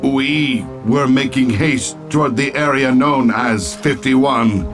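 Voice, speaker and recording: A man's voice: medium pitch, slow pace, lightly acted. A man playing a solemn, devoted knight in a video game, speaking in formal, archaic phrasing. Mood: solemn zeal. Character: solemn, devoted knight foot soldier of a secret order. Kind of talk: video game cutscene voice acting. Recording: music under the voice.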